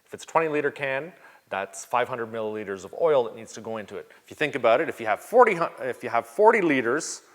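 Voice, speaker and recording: Canadian male vlog host, laid-back and chatty, mid-pitched voice, long reverb tail. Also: quick pace. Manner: relaxed and chatty, with frequent ums and uhs. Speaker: channel host answering viewer questions. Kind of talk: casual Q&A vlog.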